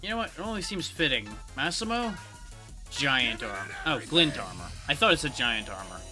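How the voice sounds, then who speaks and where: Lively man streaming a game, music behind. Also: mid-range voice, normal speed. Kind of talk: live game commentary, casual. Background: video game music.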